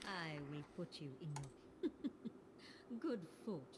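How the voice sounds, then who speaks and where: Lowish composed game heroine. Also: female voice, very animated, slow pace. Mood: composed, teasing. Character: cool, composed female video game hero. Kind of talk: video game voice line.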